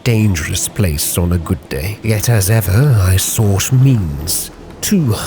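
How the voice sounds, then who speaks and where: A man narrating a sea captain's log in a measured, literary, theatrical storytelling style. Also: deep voice, lively intonation, background noise. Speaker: roleplay storyteller, channel host. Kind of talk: dramatic scripted narration. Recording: prominent background music. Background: music.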